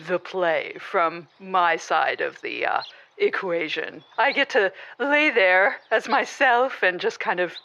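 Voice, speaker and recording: A woman's voice: fairly low pitch, slow pace, gravelly, sharp tone, lo-fi sound, full character voice. A woman playing an entitled, lazy, self-satisfied caller to a radio comedy show, breezy and demanding. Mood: coy. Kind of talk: radio call-in comedy drama.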